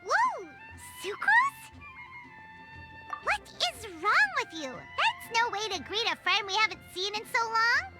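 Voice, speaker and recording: A woman voicing a tiny floating female companion in a video game, excitable and whiny, talking about herself by name. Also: extremely high pitch, slow, exaggerated voice. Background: game music.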